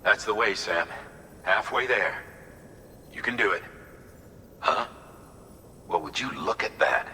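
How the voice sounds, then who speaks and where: An actor playing a plainspoken, supportive character in a video game, in a muttered, conversational way. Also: male voice, lively intonation, slow, pauses often, sharp tone, full character voice. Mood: encouraging, musing. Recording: long reverb, faint rumbling noise.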